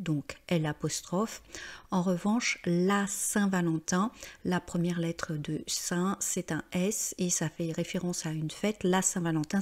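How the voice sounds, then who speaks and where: A woman walks through grammar exercise answers as a French teacher. She speaks slowly and methodically, with many small hesitations. Her voice sits a little low. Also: a little mellow. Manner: patient, methodical, frequent hesitations.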